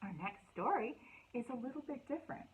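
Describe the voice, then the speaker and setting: A woman hosting a children's storytime, reading aloud and chatting in an animated, encouraging way with simple words for young listeners. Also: on the high side, a little fast, slightly rough, poor audio. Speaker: children's librarian and storytime host.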